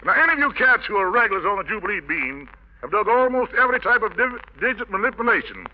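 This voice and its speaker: An American man emceeing a 1940s radio variety show, mixing jive slang with mock-highbrow wordplay. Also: very animated, fast. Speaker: radio show host, master of ceremonies. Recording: dull, lo-fi vintage radio recording.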